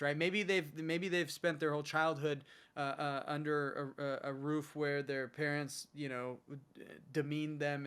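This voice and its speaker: A man's voice: on the high side, fairly bright tone, smooth. A man hosting a podcast, talking in a casual, thoughtful way with some fillers. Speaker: podcast host. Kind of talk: casual interview chat.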